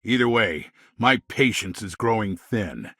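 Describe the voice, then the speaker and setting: An actor playing a slick, corrupt colonial politician in a video game, smooth-talking, smug and condescending with small evasive hesitations. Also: male voice, fairly low pitch, animated, measured pace, bright, forceful tone, no room sound, clean studio sound, exaggerated voice. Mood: cold, condescending. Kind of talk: video game character dialogue.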